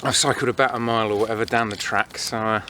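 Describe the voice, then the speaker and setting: A man's voice: on the low side, steady tone, quick pace, sharp tone, a little hiss or hum. A man in his thirties chatting casually and unscripted as he reviews gear, recorded outdoors on a camera mic. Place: outdoors in autumn woodland.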